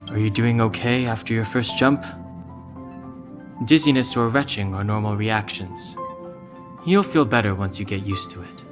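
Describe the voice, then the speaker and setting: A man playing a reserved, level-headed young crew archivist in a video game, calm and matter-of-fact. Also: fairly low pitch, normal speed, pauses often, music in the background, lightly acted. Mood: calm, considerate. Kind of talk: game cutscene dialogue.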